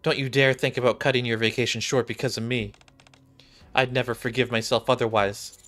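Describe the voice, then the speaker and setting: A man's voice: medium pitch, fairly even intonation, fairly quick, a bit bright. A young adult man, a game streamer, reading visual novel dialogue aloud. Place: small indoor room. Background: game music.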